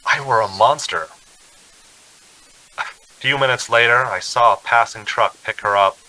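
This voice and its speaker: A man's voice: lowish voice, sharp tone, rough recording. A man acting a frightened, desperate motorist in a radio drama, intense and anguished in delivery. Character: frightened, desperate young motorist haunted by a phantom hitchhiker.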